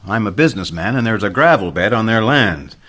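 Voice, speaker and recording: A man's voice: fairly low pitch, animated, fairly quick, bright, forceful tone. An actor playing a courteous, self-assured businessman and geologist in a radio mystery, with a formal, explanatory way of speaking. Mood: polite, defensive. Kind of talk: old-time radio mystery drama acting.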